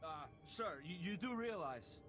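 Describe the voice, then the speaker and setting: An actor playing a timid, frightened hired thug in a video game, stammering and nervous. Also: male voice, high-pitched, animated, unhurried, music in the background.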